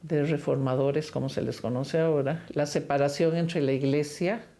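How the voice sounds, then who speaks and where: Low-voiced older woman from Costa Rica. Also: steady tone, normal speed, dark tone. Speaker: film director. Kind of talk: interview about her film.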